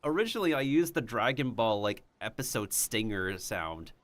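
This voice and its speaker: A man's voice: fairly low pitch, very animated, moderate pace, a bit bright. A man streaming a game, chatting and rambling with giggles, whose first language is Mandarin Chinese. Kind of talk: casual game-stream chat.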